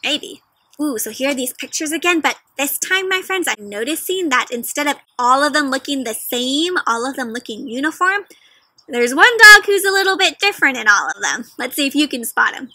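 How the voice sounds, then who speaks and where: Bright, high-voiced young woman reading to children. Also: moderate pace, dry recording. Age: young adult. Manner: animated teacherly questioning, addressing young children directly. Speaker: children's read-aloud host. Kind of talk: interactive picture book read-aloud for young children. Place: outdoors in a leafy garden.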